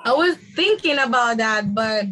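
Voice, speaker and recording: A woman with a Dominican accent, a native Spanish speaker, chatting as a podcast guest, upbeat and quick to laugh. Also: a little high, lively intonation, crowd noise, poor audio. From Dominican Republic. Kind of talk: casual podcast conversation.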